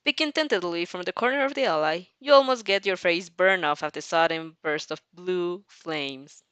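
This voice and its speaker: A woman reading fan fiction aloud in an expressive way, breaking off for casual reactions and restarts. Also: on the low side, moderate pace, clear, resonant tone, no room sound.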